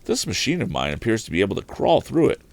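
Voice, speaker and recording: A man's voice: lowish voice, wildly swooping pitch, quick pace, gravelly, dry recording, voice pushed up, heavily acted. A man voicing an earnest, polite young inventor from a storybook, well-spoken and conversational. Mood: musing.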